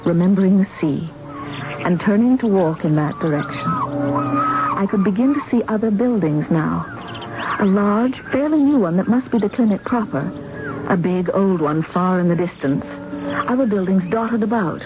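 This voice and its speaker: A woman's voice: mid-range voice, huge pitch swings, moderate pace. A young woman, polite and a little anxious, with careful diction and a mid-range voice. She is the heroine of an old radio mystery, on a muffled broadcast recording with music. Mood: reflective, groggy. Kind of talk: radio drama acting with first-person narration.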